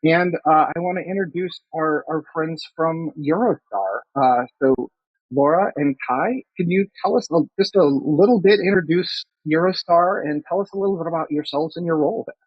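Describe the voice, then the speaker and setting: A man's voice: a little high, moderate pace, slightly rough, no echo. A man hosting a webinar, talking loosely and often restarting his phrases. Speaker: webinar host. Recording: dry, dull lo-fi sound.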